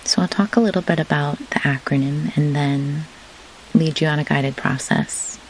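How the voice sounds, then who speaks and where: A woman leading a guided meditation in measured, deliberate phrases with pauses, as a host who works as a grief doula. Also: deep voice, poor audio. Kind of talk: slow guided meditation instruction. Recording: clear pink-noise rain hiss behind. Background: pink noise like steady rain.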